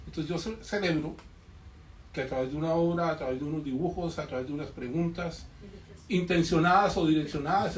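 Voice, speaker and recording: A man from the audience making an emphatic, storytelling comment, full of rhetorical questions, at a bookshop event. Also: a little high. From Colombia. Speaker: audience member. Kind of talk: spontaneous public comment. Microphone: distant room mic. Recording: echoey room, voice far from mic, faint noise.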